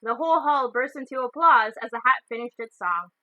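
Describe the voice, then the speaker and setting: A woman storyteller reading a children's novel aloud in expressive narration. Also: fairly high pitch, a little fast, no room sound, rough recording.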